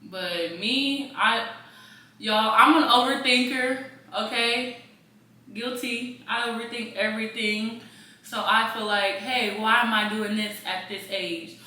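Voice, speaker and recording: A woman's voice: a little high, steady tone, takes it slowly, sharp tone. A twenty-year-old woman chatting casually and candidly, repeating phrases for emphasis. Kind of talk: casual chat. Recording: roomy echo, distant mic, faint noise.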